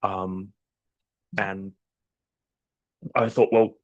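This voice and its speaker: A man on a video call with a sore throat, pitching a story idea in a hesitant, halting way. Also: little pitch movement, muffled recording. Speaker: workshop participant.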